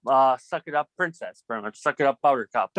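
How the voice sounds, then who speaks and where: A man talking casually in a group discussion, halting and repeating himself, often leaning on "like". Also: mid-range voice, animated, fairly bright tone, no echo. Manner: halting, repetitive, heavy use of "like". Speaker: film production student in a group meeting.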